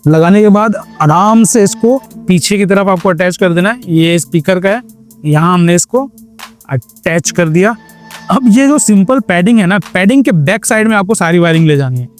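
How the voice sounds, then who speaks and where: A man speaks in a distinctly high-pitched voice over background music. He presents a hands-on tutorial in a casual vlogger style. His explanations go step by step, with English words mixed in. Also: expressive, moderate pace. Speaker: motorcycle vlogger and tutorial host. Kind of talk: casual hands-on how-to narration.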